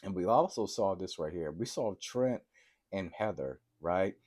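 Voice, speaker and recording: Male TV reviewer, congested from a cold and sore throat, excitable chatty recap delivery, very low pitch. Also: a little slow. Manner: excitable, keeps asking "right?" to viewers. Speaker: TV episode reviewer. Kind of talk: casual TV recap vlog.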